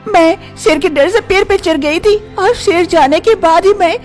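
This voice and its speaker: A woman's voice: very high-pitched, normal speed, faint music behind, pitched above the performer's own voice. A woman voicing a timid, scheming young wife in a storybook tale, who frets and mutters to herself. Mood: fretful. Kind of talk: storybook voice acting.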